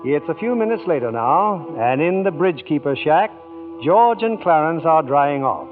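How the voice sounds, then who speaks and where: An actor playing a solemn, dramatic storyteller, narrating in the style of a 1940s radio play. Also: male voice, a little high, expressive, normal speed, lightly acted. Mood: solemn, suspenseful. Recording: tinny vintage radio broadcast. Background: music.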